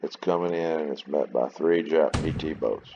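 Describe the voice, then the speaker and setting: A middle-aged man with a very low voice, casually and plainly talking through a wargame replay and rambling as he goes. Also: moderate pace, lo-fi sound, very noisy. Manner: rambling, plainspoken, self-deprecating. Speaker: gameplay video host.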